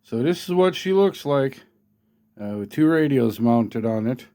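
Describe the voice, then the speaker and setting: A man's voice: mid-range voice, lively intonation, a little slow, mellow. A man talking casually and unscripted as he demonstrates gear, in a folksy, hesitant way, often checking in with the listener. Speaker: hobbyist product demonstrator. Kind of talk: casual unscripted demo talk.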